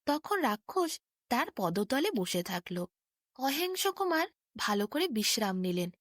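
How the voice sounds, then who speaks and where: A woman storyteller reading a scripted bedtime fairy tale with expressive, clear phrasing. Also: sits high, measured pace, no room sound, clean studio sound.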